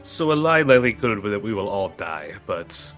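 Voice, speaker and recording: A man's voice: fairly low pitch, sing-song, moderate pace, a bit bright, faint music behind. A man playing a tabletop role-playing game, talking casually and informally with some swearing.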